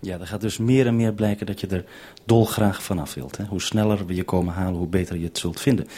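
Husky, lowish male radio interviewer. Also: fairly even intonation, fast talker, warm tone.

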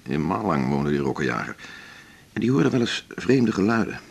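An actor playing a self-assured, opinionated male doctor with a husky voice and a wry, pontificating manner in a naturalistic radio drama. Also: low voice, big pitch swings, moderate pace, exaggerated voice. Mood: anecdotal, knowing.

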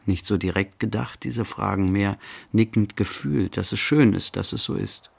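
An actor playing a pensive, serene man in a radio play, speaking a reflective literary monologue in halting fragments. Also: lowish voice, vocal fry, slightly rough, full character voice. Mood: musing, serene. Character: pensive man musing inwardly, mildly serene. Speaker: actor, narrator.

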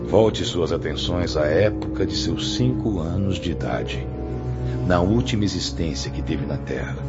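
Over prominent music in a dull recording, a man acts out a stern Brazilian spirit warden of a radio drama. His low voice preaches solemnly and rises and falls with measured, pious authority. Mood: solemn, instructing. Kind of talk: radio drama acting in Portuguese. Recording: dull lo-fi audio with loud music.

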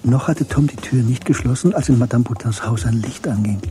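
A man's voice: medium pitch, brisk, lightly acted. A man reading scripted literary narration as the narrator of a German radio drama. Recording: music behind the voice.

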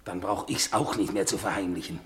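A man's voice: sits low, a little fast, fairly bright tone, a little hiss or hum. An actor playing a boastful, self-important man telling ghost tales dramatically in a 1950s German radio play.

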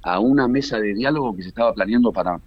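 A man's voice: lowish voice, quick pace, no room sound, lo-fi sound. A man from Argentina with an Argentine Spanish accent, giving emphatic, repetitive political commentary as an analyst guest over a remote radio link. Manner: emphatic, builds points by repetition.

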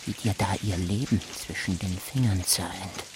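An actor playing an unhinged, menacing male gamekeeper in a German radio drama, with a halting, intense delivery that swings between whispers and outbursts. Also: deep voice, noisy background, lightly acted. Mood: obsessive, unhinged.

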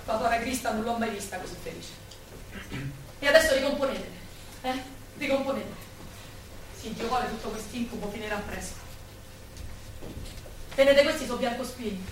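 A woman's voice: fairly high pitch, natural voice. An actress in live radio theatre playing a proud, plain-spoken working-class woman with a Roman accent. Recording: very echoey hall, distant mic, faint noise.

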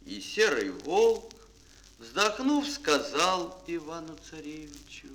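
A man narrating a children's fairy tale as a storyteller in an expressive, theatrical, dramatic manner. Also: fairly high pitch, slow, poor audio.

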